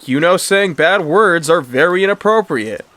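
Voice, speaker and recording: A man's voice: high voice, animated, normal speed, fairly bright tone, no room sound, voice pushed up. A man voicing an indignant husband and pet owner, prim and scolding, in a cartoon story.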